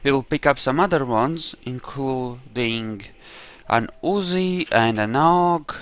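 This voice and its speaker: A man's voice: mid-range voice, takes it slowly, smooth, dry recording. A man giving casual let's play commentary on a roleplaying game, thinking aloud in a rambling way as he goes. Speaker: gamer narrating his own roleplay playthrough.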